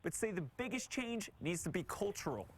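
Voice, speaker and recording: Male field reporter, American, higher voice with animated swings, scripted news delivery. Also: fairly quick.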